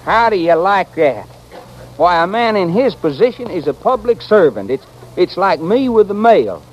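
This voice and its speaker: An actor playing a cantankerous, proud Old West stagecoach driver with folksy, stammering frontier speech in a radio western. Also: male voice, sits high, big pitch swings, normal speed. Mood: indignant griping. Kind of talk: 1940s-50s radio western acting. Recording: muffled, noisy old radio recording.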